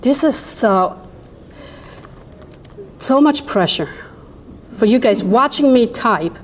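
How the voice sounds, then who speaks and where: A woman with a high voice and a non-native English accent leads a workshop, chatting and animated as she demos software for the room. A faint hum sits behind her in a conference room. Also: takes it slowly. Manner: chatty, animated, laughs often, talks to the audience directly. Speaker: workshop presenter. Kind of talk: live software demo to a room.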